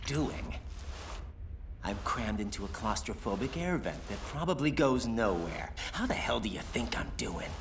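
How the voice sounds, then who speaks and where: Earnest young agent in reverb. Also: male voice, lowish voice, very animated, measured pace, noisy background. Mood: exasperated. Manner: earnest, urgent, direct. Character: earnest, exasperated young male special-forces rookie agent. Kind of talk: dramatic video game voice acting. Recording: long reverb, music underneath. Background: clear music.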